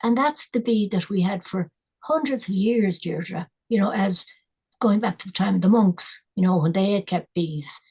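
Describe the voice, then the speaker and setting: An older Irish woman, a guest environmentalist, talking in a casual, thoughtful way with many pauses and restarts. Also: medium pitch, dark tone, breathy edge. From Ireland. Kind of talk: casual conversational interview.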